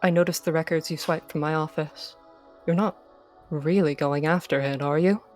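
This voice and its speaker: A low, world-weary older man, played by a woman, resigned and regretful as he lays down hard truths. It is a visual novel reading, with faint music underneath. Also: fairly even intonation, moderate pace, a little mellow, natural voice. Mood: wary.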